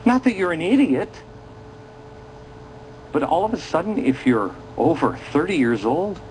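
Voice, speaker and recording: A man's voice: fairly high pitch, big pitch swings, unhurried, a little mellow, slight background noise, low-quality recording. A man hosting a political commentary show, talking to viewers in a dramatic, indignant and sarcastic manner.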